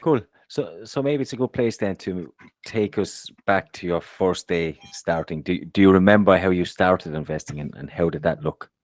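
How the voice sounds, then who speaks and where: A man's voice: deep voice, moderate pace, no room sound. A man co-hosting a podcast. He reads a scripted intro, then leads the interview in an easygoing, apologetic way. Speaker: podcast co-host.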